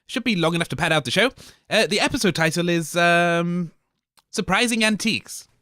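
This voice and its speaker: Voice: mid-range voice, normal speed, bright, forceful tone, dry recording, clean studio sound. A co-host of a comedy audio show who stammers and hesitates and makes cheeky remarks. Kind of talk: comedy radio show banter.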